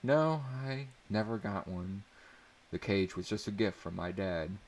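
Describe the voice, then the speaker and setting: A man's voice: fairly low pitch, animated, unhurried, darkish tone. Playful teenage girl, as a male narrator reads her. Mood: casual. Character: teenage schoolgirl, playful and easily amused. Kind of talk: dramatic audiobook reading.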